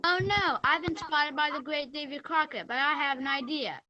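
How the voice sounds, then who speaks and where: A woman playing a sly, flattering country raccoon in a children's readers theater piece, on muffled, quiet audio. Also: sits high, moderate pace, a crowd in the background, close to an everyday voice. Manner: exclaiming, country-style talk.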